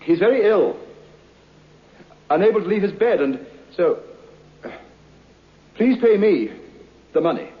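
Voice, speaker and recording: An actor playing a polite, nervous man in an old radio horror play, speaking in halting, formal, projected phrases. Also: high-pitched, big pitch swings. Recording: muffled old radio recording.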